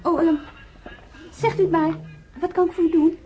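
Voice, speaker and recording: A kindly young nurse in a radio play, with a high yet soft-toned voice. She is chatty and solicitous. Music plays behind her on a dull recording. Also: steady tone, moderate pace. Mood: chatty, attentive. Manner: solicitous, chirpy. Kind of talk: radio drama, casual dialogue. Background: music playing.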